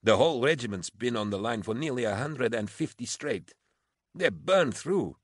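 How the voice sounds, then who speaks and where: A man's voice: lowish voice, animated, unhurried, no echo, clean studio sound, pitched above the performer's own voice. A man voicing an exhausted, foul-mouthed female military officer for an audiobook, blunt and pugnacious, with a sardonic edge. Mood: weary, snappish. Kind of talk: audiobook character acting.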